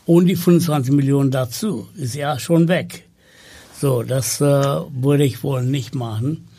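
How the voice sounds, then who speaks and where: Slow, gravelly man. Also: mid-range voice, dark tone. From Sri Lanka. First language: Tamil. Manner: slow and hesitant with many fillers. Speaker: podcast guest. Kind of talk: conversational interview.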